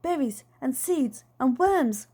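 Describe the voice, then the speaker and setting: Woman as storybook father, high pitch, plump, boyishly excitable, playful, boastful, dreamer. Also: slow pace, breathy edge, full character voice. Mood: eager, insistent. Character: plump, excitable, childlike dad who longs to fly.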